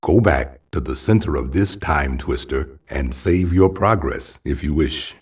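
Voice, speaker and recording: A man's voice: rumbling low, lively intonation, unhurried, darkish tone, husky, lo-fi sound, heavily acted. A man voicing a wise, ancient guardian spirit in a video game, speaking in a solemn, grave, fatherly manner. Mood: solemn, guiding. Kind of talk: video game cutscene voice acting.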